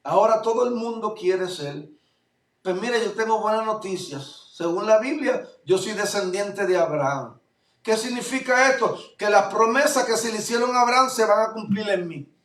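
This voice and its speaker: A male pastor from Puerto Rico with a Puerto Rican accent, preaching a fervent sermon that swings between loud declarations and low asides. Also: lively intonation, moderate pace. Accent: Puerto Rican Spanish. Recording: echoey room, voice from far off mic.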